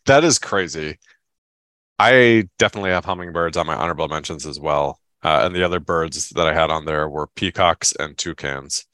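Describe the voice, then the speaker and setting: Stuffed-up man, low dry voice. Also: moderate pace, sharp tone, no room sound. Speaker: podcast co-host. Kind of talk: casual chat.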